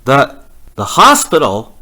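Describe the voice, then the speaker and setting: An actor playing a frightened, haunted man traveling alone in a suspense radio play, speaking intensely and haltingly. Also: medium pitch, huge pitch swings, pushed and bright, heavily acted, poor audio. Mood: panicked disbelief. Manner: intense, halting, repeating words. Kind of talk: suspense radio play acting, dramatic narration.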